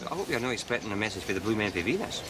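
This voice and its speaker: A man talks very quickly with lively, swooping pitch. He is a concerned, teasing friend in a drama scene, with clear insect-filled ambience behind him. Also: noisy background. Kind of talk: naturalistic drama dialogue.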